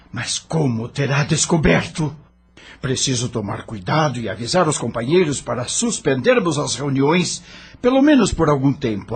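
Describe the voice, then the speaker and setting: An actor playing a troubled doctor who is defensive, curt and pleading, in an audio drama. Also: male voice, medium pitch, vocal fry. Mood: worried.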